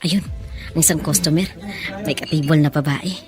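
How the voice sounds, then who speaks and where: A woman playing a gentle, polite and earnest young daughter who narrates her hard life in a radio drama. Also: low-pitched, fairly even intonation, moderate pace, music in the background, natural voice. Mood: earnest, hopeful. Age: young adult.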